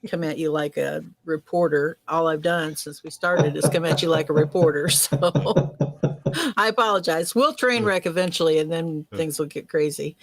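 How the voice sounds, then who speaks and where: A woman's voice: low voice, moderate pace. A woman co-hosting a casual live podcast, teasing and joking at her own expense while steering questions to guests.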